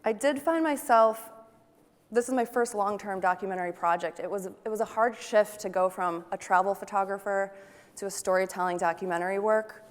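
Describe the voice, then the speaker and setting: A woman's voice: mid-range voice, brisk. A young woman giving a photography talk, chatty and enthusiastic and asking her audience questions. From Wisconsin, United States. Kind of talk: conference presentation to an audience. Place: large conference hall. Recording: long hall reverb.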